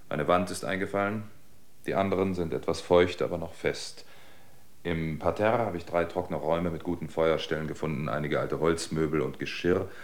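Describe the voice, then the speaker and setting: An observant travelling reporter in a radio drama. His voice sits low. He speaks in a measured, reflective way. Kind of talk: radio drama narration and dialogue.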